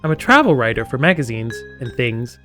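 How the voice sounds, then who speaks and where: A man's voice: medium pitch, very animated, brisk, pushed and bright, faint music behind. A man voicing a teasing, doting mother of a teenager in a casual manner. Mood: amused, friendly.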